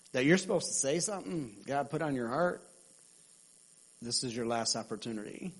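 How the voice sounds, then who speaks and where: A man of middle pitch guides a church service between testimonies. He speaks informally and chattily, and he laughs easily. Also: rough recording. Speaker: worship leader.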